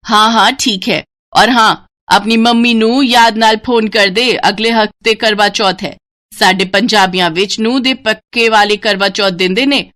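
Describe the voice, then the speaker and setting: A woman's voice: mid-range voice, steady tone, a little slow, fairly bright tone, lightly acted. A woman voicing a demanding, fussy older Punjabi mother-in-law in a story, with an emphatic, nagging delivery and a Punjabi accent. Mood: bossy, chatty.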